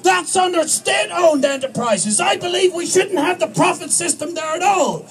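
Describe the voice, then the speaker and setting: A man's voice: extremely high pitch, normal speed. A man with an Irish accent giving a rousing, declamatory political speech at an outdoor protest rally. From Ireland. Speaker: protest organiser and rally speaker. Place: outdoors at a street march. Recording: outdoor rally, crowd and background noise.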